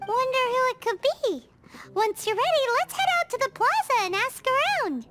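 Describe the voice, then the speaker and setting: A shrill, bubbly little fairy sidekick from a game. She blurts out questions at a very high pitch with wide, bouncy swings, and loud game music plays under her. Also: very animated, normal speed. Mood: perky. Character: tiny excitable floating fairy companion. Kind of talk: game cutscene voice acting. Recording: game audio with music behind.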